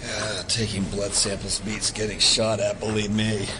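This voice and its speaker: An actor playing a weary covert operative back from battle, bantering dryly in an audio drama. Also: male voice, on the low side, flat delivery, a little slow, noisy background, natural voice. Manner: dry, laconic banter.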